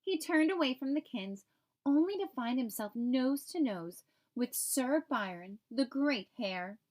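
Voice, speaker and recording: High-pitched, animated woman storyteller. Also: measured pace. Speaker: read-aloud storyteller for young children. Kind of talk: expressive scripted children's story narration.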